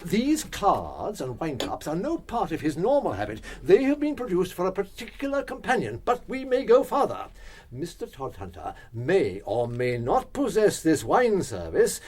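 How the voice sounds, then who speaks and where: An actor playing an eccentric, self-assured gentleman detective in a radio drama, musing aloud and making deliberate, pompous pronouncements. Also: high voice, huge pitch swings, full character voice. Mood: grandly confident. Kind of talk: full-cast radio drama acting.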